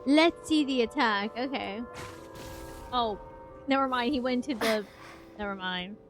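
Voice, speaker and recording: A woman's voice: a little high, measured pace, faint music behind. A woman streamer giving casual, chatty reaction commentary while she plays a game.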